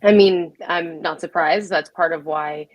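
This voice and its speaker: A woman's voice: lowish voice, steady tone, unhurried, dry recording, dull audio. A woman hosting an interview in a casual, informal way, relaying questions from the audience. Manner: informal, chuckles often. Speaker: host.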